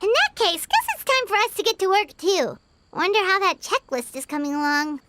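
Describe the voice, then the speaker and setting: A woman voicing a small, chirpy, childlike fairy companion in a video game, eager and nosy, with a squeaky voice. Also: big pitch swings, moderate pace, slight background noise, full character voice. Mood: cheerful, eager. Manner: refers to herself in the third person. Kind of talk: video game cutscene dialogue. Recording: loud music under the voice.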